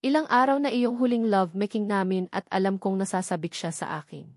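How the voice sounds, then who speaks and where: A woman's voice: medium pitch, fairly quick, a little mellow, no room sound, clean studio sound. A woman with a Filipino accent narrating a romance novel in the first person. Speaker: audiobook narrator.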